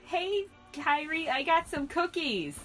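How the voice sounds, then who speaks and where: A woman voicing a playful, teasing cat-eared boy from a fanfiction, in a loud exaggerated delivery. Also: high voice, sing-song, slow, faint music behind, voice pushed up, heavily acted. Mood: cheerful.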